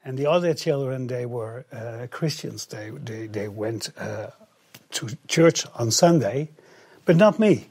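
A man from the Netherlands, an interview guest speaking English haltingly, with many restarts. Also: mid-range voice, animated, a little mellow, breathy edge. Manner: halting, frequent restarts and fillers.

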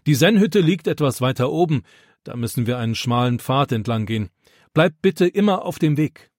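A man's voice: medium pitch, lively intonation, normal speed, darkish tone, no room sound, studio quality, natural voice. A man doing a kind pious old grandpa. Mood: urgent calling.

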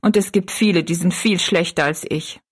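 A woman's voice: fairly low pitch, normal speed, husky. A woman voicing a defensive, self-satisfied older married woman in an audiobook story, evasive and self-justifying.

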